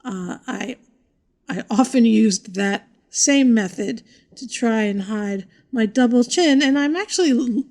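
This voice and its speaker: A middle-aged woman co-hosts a casual podcast with a dry, self-mocking wit. Her voice is high for a woman, and she keeps things wry and unhurried while laughing easily. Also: darkish tone. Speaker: podcast co-host. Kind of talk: casual comedic chat.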